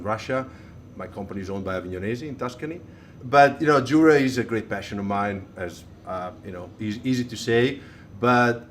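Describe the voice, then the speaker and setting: A man's voice: fairly low pitch, a little hiss or hum. A man who represents wineries, introducing himself on a podcast in a rambling, self-mocking way. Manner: self-deprecating, rambling, chuckles. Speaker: wine importer guest.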